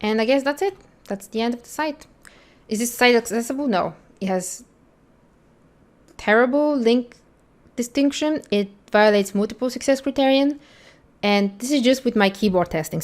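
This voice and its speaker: A woman's voice: medium pitch, darkish tone. A woman whose first language is Bulgarian, an accessibility instructor thinking aloud in a candid, blunt way while she tests a website for a screen-recorded tutorial.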